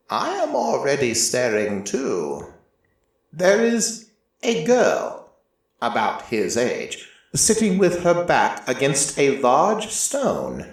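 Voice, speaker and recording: A man narrating a first-person story in a measured, scripted storytelling style. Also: mid-range voice. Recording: roomy, echoing voice recording.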